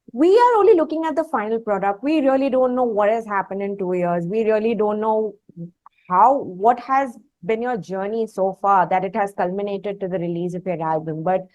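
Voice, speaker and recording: A woman with a fairly high voice and an Indian accent hosts a music interview. Her delivery is conversational, with questions that unfold in hesitant, pausing phrases. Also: darkish tone. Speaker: interview host.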